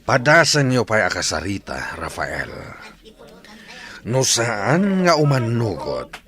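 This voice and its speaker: An actor playing the commanding leader of a scheming group in an Ilocano radio drama, deliberate as he gives orders. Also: fairly low pitch, wildly swooping pitch, fairly bright tone, full character voice. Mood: commanding, calculating.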